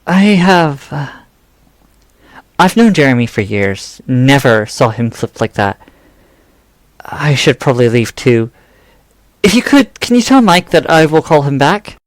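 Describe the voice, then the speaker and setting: A man playing an amiable but worried, practical character in a fan audio drama, speaking haltingly. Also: a little high, big pitch swings, no room sound. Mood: polite, awkward. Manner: hesitant, halting.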